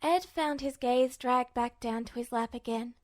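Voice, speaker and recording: A woman with a high-pitched voice narrates a dramatic story expressively. The recording is close and dry, with very little room sound, in the manner of an audiobook. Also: unhurried, no echo. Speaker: podfic narrator. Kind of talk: expressive scripted fiction narration.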